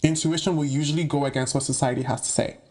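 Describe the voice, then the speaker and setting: A man's voice: on the high side, steady tone, quick pace, a little mellow. A young man from the UK giving a casual, slangy monologue to camera about spiritual topics, with playful asides. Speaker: spiritual content creator.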